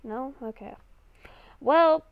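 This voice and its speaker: High-pitched, slow-paced woman playing a game. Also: no echo, phone-quality sound. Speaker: gamer playing a roleplay series. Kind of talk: improvised in-character game roleplay commentary.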